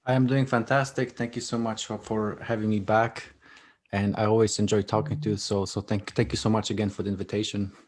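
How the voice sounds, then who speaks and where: Male guest, Polish first language, lower register, halting conversational delivery. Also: little pitch movement, a little mellow. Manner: hesitant, repeats words, many fillers. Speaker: guest author and speaker. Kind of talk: casual interview conversation.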